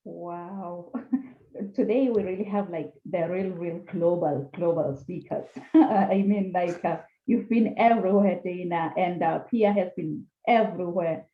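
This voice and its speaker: A woman's voice: fairly low pitch, a little slow. A woman host welcomes her panel with lots of enthusiasm and easy laughter. Her voice comes through an echoey room and a narrow, phone-like line. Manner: enthusiastic, laughs often, repeats words. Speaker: podcast host. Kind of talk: online panel hosting.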